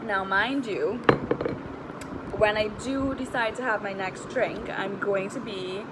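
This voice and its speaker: A woman's voice: mid-range voice, fairly even intonation, slow pace, heavy background noise. A woman with a Trinidadian accent talking casually and candidly in a personal vlog, with informal slang, as a health coach. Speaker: health and weight-loss coach vlogger.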